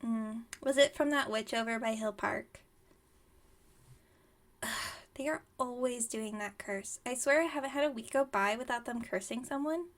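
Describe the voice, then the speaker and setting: A woman's voice: normal speed, natural voice. A woman playing a flustered, dramatic, scatterbrained witch shopkeeper in an ASMR roleplay, theatrical but chatty and rambling. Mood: chatty, confiding. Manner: theatrical sales pitch slipping into chatty rambling.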